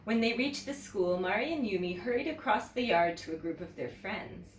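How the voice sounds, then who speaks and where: A woman teacher reading a children's story aloud in an expressive, animated way, talking directly to young viewers. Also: mid-range voice, normal speed. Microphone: camera mic at some distance. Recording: roomy, distant mic with background noise.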